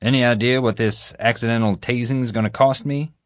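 A man's voice: mid-range voice, fairly even intonation, fairly quick, dry recording, studio quality, voice pushed up, natural voice. A man playing a sarcastic, irritated boss who grumbles, in an audiobook. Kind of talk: audiobook character voice.

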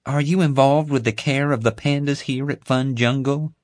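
A man voicing an elderly, genteel Southern lady with a slow, honeyed drawl. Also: mid-range voice, fairly even intonation, no room sound, studio quality, pitched above the performer's own voice, natural voice. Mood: gracious.

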